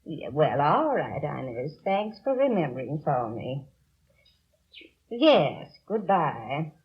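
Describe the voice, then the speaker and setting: A woman's voice: deep voice, sing-song, takes it slowly, a little hiss or hum, heavily acted. A woman playing a respectable, flustered store customer in a 1950s radio drama, speaking emphatically yet hesitantly. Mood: chatty. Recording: dull old radio recording.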